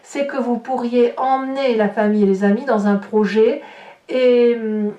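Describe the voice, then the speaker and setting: A woman with a fairly high voice, recorded in a noticeably echoey room. She gives a tarot reading straight to her viewers, feeling her way through phrases and doubling back on words. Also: steady tone, moderate pace, mellow, muffled recording. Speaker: tarot reader and host. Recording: roomy, echoing sound.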